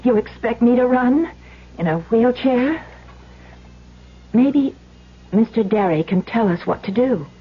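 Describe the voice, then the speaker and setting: A woman's voice: a little high, animated, unhurried, pauses often, husky. An actress playing a worried, pleading woman in a 1970s radio crime drama. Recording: muffled old radio broadcast.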